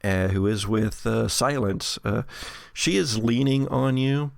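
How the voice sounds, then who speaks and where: A man's voice: mid-range voice, unhurried, creaky, no room sound, studio quality. A man improvising narration as game master of a horror tabletop game.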